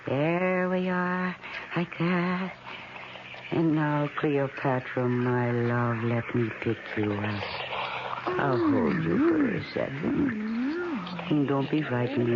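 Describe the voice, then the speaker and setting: A woman's voice: fairly low pitch, exaggerated voice. An actress playing an eccentric, doting wife who coos and fusses over her plants in an old radio drama. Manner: cooing, coaxing, fussing. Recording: noisy, muffled old radio broadcast.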